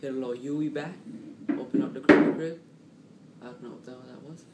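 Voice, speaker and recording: A man telling a casual, animated story in slang, repeating himself and acting out what people said. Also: mid-range voice, a little fast, dull audio. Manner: slangy, repetitive, acts out quotes. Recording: roomy, distant mic, clearly noisy.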